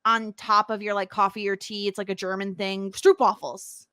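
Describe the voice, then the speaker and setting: A woman from New York State with an American accent, co-hosting a podcast in casual, animated chat and laughing often. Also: medium pitch, moderate pace, clean tone, dry recording, studio quality. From New York State, USA. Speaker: podcast co-host.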